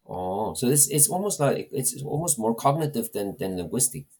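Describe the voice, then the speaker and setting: Mellow, husky-voiced male host. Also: mid-range voice, lively intonation, normal speed. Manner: hesitant, restarting questions. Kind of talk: interview questions.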